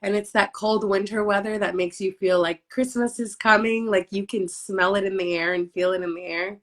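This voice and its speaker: A woman with a hoarse voice, hosting a casual live stream chat as part of a real estate team. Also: mid-range voice, steady tone, moderate pace.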